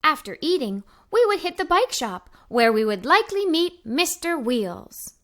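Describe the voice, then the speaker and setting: A woman with a high, bright voice narrates a storybook for children. She speaks in the role of an eager young girl, with lively swings in pitch and plenty of enthusiasm. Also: measured pace. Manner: animated, enthusiastic. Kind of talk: expressive children's read-aloud, narrating in character as an adventurous young girl.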